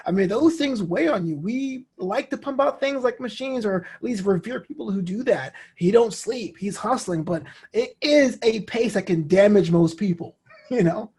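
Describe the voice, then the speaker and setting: Husky-voiced New man chatting. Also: high voice, normal speed, a little mellow. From New York City, United States. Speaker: guest, comedian and show host. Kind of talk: casual interview conversation.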